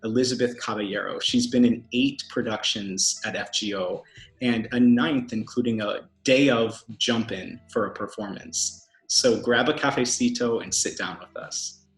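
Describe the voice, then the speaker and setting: A man in his thirties hosting an upbeat interview over a video call from home, eager and quick to laugh. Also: medium pitch, faint music behind. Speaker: host. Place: home room. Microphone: video call, laptop camera. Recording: echoey room on a video call.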